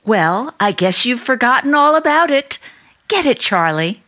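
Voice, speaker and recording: A woman's voice: fairly high pitch, sing-song, a little slow, sharp tone, exaggerated voice. An actress playing a doting, trusting middle-aged sister and small-town mother in an old-time radio drama, chatty and earnest. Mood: fond insistence.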